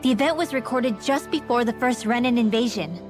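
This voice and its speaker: A woman's voice: fairly high pitch, lively intonation, brisk, over music, natural voice. A woman voicing a curious, studious young female mage in a video game, earnest and bookish in her talk. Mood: pondering. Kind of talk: video game cutscene acting.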